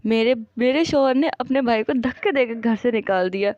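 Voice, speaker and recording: A woman's voice: a little high, moderate pace, a little mellow, no echo, dull audio, close to an everyday voice. A woman voicing a married woman in a domestic moral cartoon. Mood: gloating.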